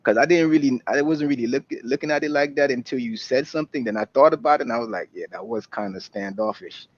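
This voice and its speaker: A New man, speaking in halting stops and restarts. His voice sits at an ordinary pitch, and it comes through a dull, muffled mic. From New York. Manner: halting, stumbling speech. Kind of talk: casual group chat.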